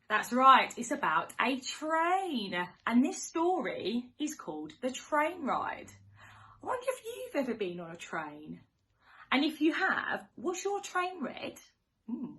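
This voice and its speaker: A woman teacher reading a picture book to young children in an expressive sing-song way, with questions, gasps and hushed asides. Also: high-pitched. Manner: animated, questioning, dramatic gasps and hushed near-whispers.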